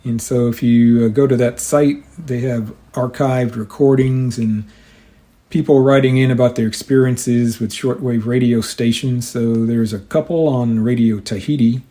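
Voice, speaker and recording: A man hosting a radio hobby show, explaining things informally and a little haltingly in a casual monologue. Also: medium pitch, fairly even intonation, warm tone. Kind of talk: casual hobbyist monologue with some reading aloud.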